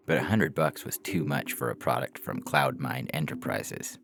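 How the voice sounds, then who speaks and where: A man reading science fiction stories aloud as scripted narration for a podcast. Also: rumbling low.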